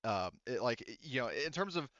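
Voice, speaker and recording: A man's voice: mid-range voice, very animated, a little fast, a bit bright, no echo, highs cut off. A man acting as game master in a casual tabletop roleplaying session, rambling and thinking aloud with the odd swear word.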